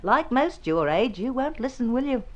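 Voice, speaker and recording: A woman's voice: on the high side, sing-song, moderate pace, muffled recording. An Australian actress playing a blunt, practical older landlady in a mid-century radio drama, dry, brusque and lecturing.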